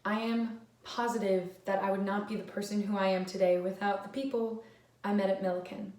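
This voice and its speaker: A woman's voice: medium pitch, steady tone, fairly quick, a little mellow. A young woman of twenty-two speaks in a thoughtful, sincere way. Her voice carries the echo of a roomy space. The mic picks her up from a distance.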